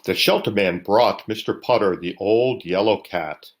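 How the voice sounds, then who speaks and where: A man with a fairly low voice reads a children's bedtime story aloud. He narrates it as a storybook reader would. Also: unhurried.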